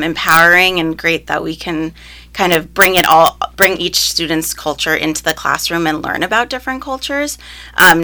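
A woman's voice: on the low side, bright, forceful tone. A young woman teacher answering interview questions in a casual, upbeat way, with frequent ums and likes, raised speaking both Spanish and English. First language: Spanish, alongside English. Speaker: first grade teacher, interviewee.

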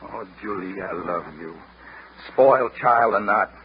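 An actor playing an earnest, exasperated young gentleman suitor in a vintage radio drama. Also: on the low side, unhurried, natural voice. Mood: tender. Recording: muffled, noisy old radio broadcast.

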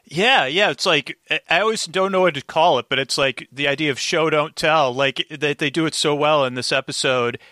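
A man chatting casually as a podcast guest, telling things in a halting, rambling way and circling back mid-sentence. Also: a little high, pushed and bright, no room sound, studio quality. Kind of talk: casual interview chat, storytelling.

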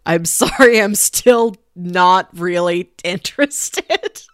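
Very animated woman, close dry sound. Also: on the low side, slow pace, no echo. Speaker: podcast co-host. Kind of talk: casual chat.

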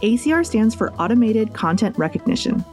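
A woman's voice: fairly high pitch, big pitch swings, a little fast, darkish tone. A woman reading scripted podcast tips in a wry, jokey manner. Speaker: podcast host. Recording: faint music bed behind.

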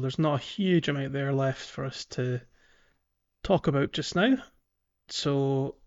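A man chats as a podcast co-host in a loose, unscripted style. His delivery is hesitant, as if he works out each thought while speaking, at a middling pitch. The recording is dull and a little lo-fi. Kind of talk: casual video game playthrough chat.

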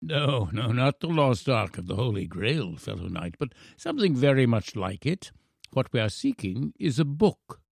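A man voicing a frail, eloquent English professor in his eighties, formal, halting and playfully teasing, in a storybook reading. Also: medium pitch, very animated, gravelly, darkish tone, no room sound, studio quality. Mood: courtly, solemn. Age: 80s. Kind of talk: storybook character voice.

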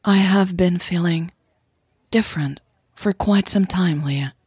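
A woman's voice: on the low side, slow, darkish tone, husky, dry recording, studio quality, heavily acted. A woman playing a thoughtful, candid young woman in a romantic audio drama, speaking in a hesitant, sincere way. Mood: candid, earnest.

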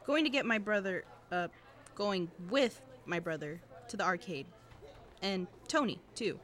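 A woman's voice: medium pitch, animated, a little slow, fairly bright tone. A woman acting as an anxious, guarded teenage student in a fiction podcast, awkward and dryly evasive. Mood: apologetic, awkward. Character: anxious teenage student girl who records cassettes, guarded. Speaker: voice actor.

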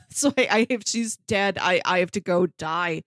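A woman's voice: lowish voice, unhurried, a bit bright, dry recording, clean studio sound. A woman co-hosting a podcast, casually retelling and discussing a story in loose, conversational speech peppered with filler words. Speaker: podcast co-host.

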